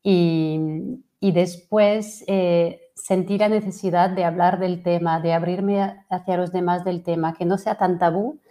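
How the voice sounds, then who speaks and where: French-accented woman in her 40s, mellow low voice, hesitant webinar coach. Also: steady tone, unhurried. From France. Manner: hesitant, many fillers and restarts. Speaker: fertility coach. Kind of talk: webinar presentation.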